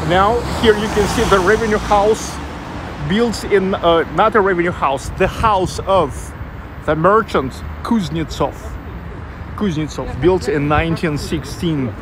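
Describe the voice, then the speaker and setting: A man with a Russian accent and a fairly high voice guides a live walking tour in English. He talks loosely, searching for words and fixing his own slips. He is recorded on a handheld camera mic out on a city street, with loud traffic all around him. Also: measured pace. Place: busy city street outdoors. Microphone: handheld selfie camera mic.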